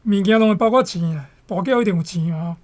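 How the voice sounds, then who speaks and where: A woman's voice: medium pitch, fast, darkish tone, lightly acted. Woman as dramatic Taiwanese-accented radio storyteller.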